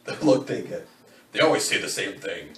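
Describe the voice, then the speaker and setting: Man playing a coldly calm, low-voiced killer. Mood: calm, philosophical. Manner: measured, deliberate, formal phrasing. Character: calm, menacing, philosophical male killer, coldly fatalistic. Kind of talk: dramatic reading of a novel. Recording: roomy, distant, faint background noise.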